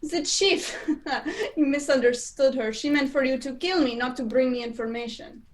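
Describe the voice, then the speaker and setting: A high-pitched female villain of a radio play, acted by a young woman. She is a spy chief with a respectable front, suave and polite, laying out threats without hurry. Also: natural voice. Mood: suave menace. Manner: polite, unhurried menace. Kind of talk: amateur radio play acting. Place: indoors, performed over a video call.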